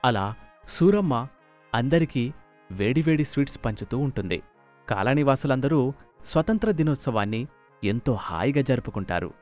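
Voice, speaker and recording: Lively male story narrator. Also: medium pitch, normal speed, long pauses. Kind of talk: scripted, expressive storytelling. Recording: music under the voice.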